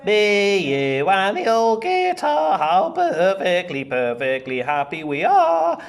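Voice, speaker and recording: A man's voice: sits high, sing-song, slow, vocal fry, exaggerated voice. A man voicing a friendly, devoted tabby cat from a storybook in a plaintive sing-song way. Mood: happy singing.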